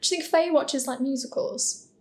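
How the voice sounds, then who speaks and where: A woman's voice: high-pitched, unhurried. A woman chatting casually as a podcast panellist. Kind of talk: casual chat.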